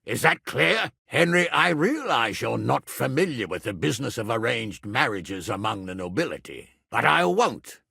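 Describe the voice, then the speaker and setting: Irascible medieval nobleman, raspy, wildly swinging intonation, commanding, video game dialogue. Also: mid-range voice, sing-song, moderate pace, few pauses, pushed and bright, dry recording, clean studio sound, exaggerated voice. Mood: stern, exasperated. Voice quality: gravelly, raspy. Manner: gruff, commanding, scolding. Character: blustering, irascible medieval nobleman, guardian of his ward.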